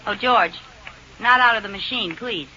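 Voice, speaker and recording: An actress playing a scatterbrained, wheedling housewife in an old-time radio comedy, exclaiming and pleading. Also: female voice, fairly high pitch, normal speed, bright, forceful tone, highs cut off. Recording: very noisy vintage radio recording.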